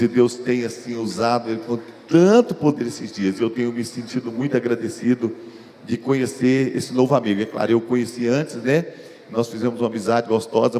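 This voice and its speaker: A man's voice: medium pitch, mellow. A man, the host pastor, introducing a guest preacher to the congregation with affection. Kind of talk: sermon introduction. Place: large church hall. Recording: church hall reverb with faint noise.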